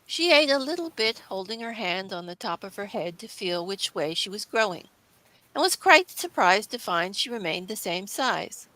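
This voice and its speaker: A woman narrates a classic children's story from a script. Her voice is bright and forward, at a middling pitch, in a dry, close sound. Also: big pitch swings, moderate pace, dry recording, poor audio.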